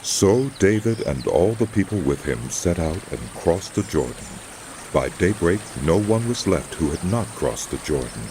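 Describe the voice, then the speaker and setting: A man giving solemn, measured dramatic narration of scripture as a scripted audio production. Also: sits low, very animated, noisy background.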